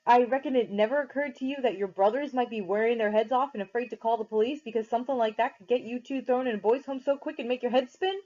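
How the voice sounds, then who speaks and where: A woman's voice: fairly high pitch, quick pace, few pauses, voice pushed up, close to an everyday voice. A woman voicing a stern young man who scolds his little brother at the top of his voice, on a dull lo-fi recording. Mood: angry scolding. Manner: shouting, scolding.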